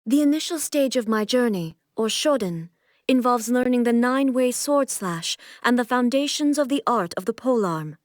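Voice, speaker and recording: An actress voicing a solemn, aloof immortal shogun and swordswoman in a video game, with formal, measured, stately delivery. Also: on the high side, lively intonation, dry recording, clean studio sound, close to an everyday voice. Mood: proud, instructive. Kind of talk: video game character voice lines.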